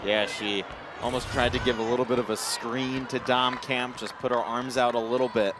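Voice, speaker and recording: A man's voice: medium pitch, little pitch movement, moderate pace. A man giving conversational live sports analysis as a color commentator. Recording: noisy gym ambience, bouncing balls. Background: basketball game noise.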